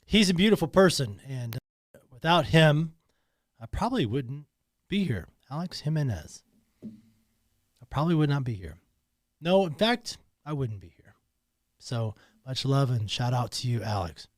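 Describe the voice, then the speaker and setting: A man's voice: mid-range voice, lively intonation, measured pace, long pauses, no room sound. A man talking alone in a podcast studio, emotional and emphatic, often repeating himself. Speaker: podcast host. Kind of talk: personal monologue.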